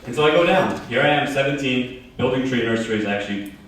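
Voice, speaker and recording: A man's voice: mid-range voice, fast talker, a bit bright, distant mic. A man in his thirties giving a casual, joking keynote talk to a live audience, telling his story in a large conference hall. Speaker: keynote speaker. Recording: very echoey hall, heard from afar.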